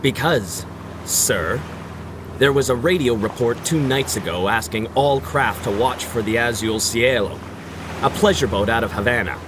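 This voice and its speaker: An actor playing an earnest, deferential ship's mate in a radio adventure, respectful but insistent. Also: male voice, medium pitch, normal speed, natural voice. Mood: earnest, uneasy. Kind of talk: 1930s-style radio adventure acting. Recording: noisy outdoor sea and engine ambience.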